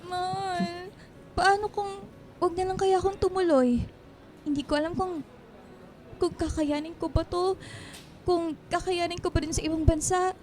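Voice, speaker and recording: A woman's voice: very high-pitched, steady tone, normal speed, long pauses, darkish tone, a little hiss or hum. A woman playing a loving, reluctant wife in an emotional, pleading radio drama performance. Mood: worried, pleading. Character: tearful loving wife reluctant to leave her family.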